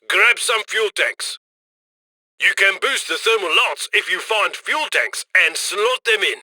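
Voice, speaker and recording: A man's voice: high voice, bright, forceful tone, no echo, heavily acted. An actor playing a cocky, chummy male heist handler in a video game, with a wry, folksy, upbeat manner. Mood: brisk, determined. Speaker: mission handler.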